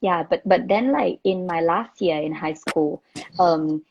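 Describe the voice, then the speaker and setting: Young woman guest, relaxed chatty delivery, lowish voice, lo-fi call audio. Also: a little slow, slight background noise. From Thailand. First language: Thai. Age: young adult. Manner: chatty, relaxed, many fillers. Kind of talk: casual chat.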